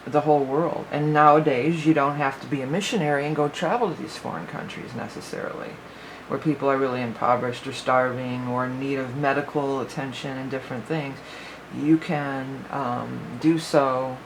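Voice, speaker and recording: A woman's voice: extremely low pitch, steady tone, moderate pace, background noise. A woman giving a casual spoken astrology forecast as a psychic reader, rambling and thinking aloud with many fillers, her voice a little worn.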